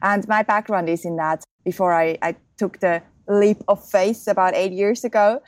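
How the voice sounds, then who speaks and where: A woman's voice: mid-range voice, fairly even intonation, moderate pace, dry recording. A woman in her thirties, originally from Switzerland, explaining her marketing business in an upbeat, easygoing podcast interview. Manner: upbeat, explanatory, laughs easily. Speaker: guest, marketing business co-founder.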